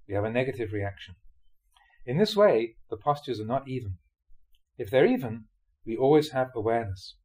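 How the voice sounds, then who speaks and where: Measured man reading Buddhist teachings aloud. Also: medium pitch, a little mellow. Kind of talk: scripted audiobook-style reading of dharma talks.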